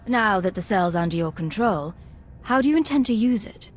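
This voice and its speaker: An actress as a female intelligence agent from a space opera game. She is composed and professional, with a medium-pitched voice. Her delivery is formal and guarded. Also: lively intonation, moderate pace, lightly acted. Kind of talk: video game voice acting.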